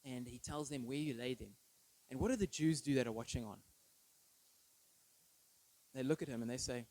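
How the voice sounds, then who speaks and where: A man's voice: medium pitch, dark tone, poor audio. A thirty-year-old man giving an informal, conversational sermon with personal stories, often checking in with listeners through short tag questions. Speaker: preacher.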